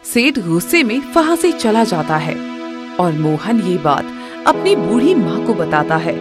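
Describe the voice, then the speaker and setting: A woman tells a cartoon moral story in an expressive storytelling voice. Her pitch rises and falls a great deal as she builds suspense. Background music is clearly heard under her. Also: measured pace. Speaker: narrator. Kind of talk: storybook narration.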